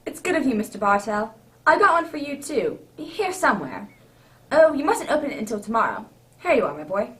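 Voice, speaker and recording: A woman acts out a genial older English gentleman in a radio drama, avuncular and easily flustered. The voice is high and very animated, full of old-fashioned exclamations. It is picked up from a little distance. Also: brisk. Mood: jovial, welcoming. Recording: distant microphone.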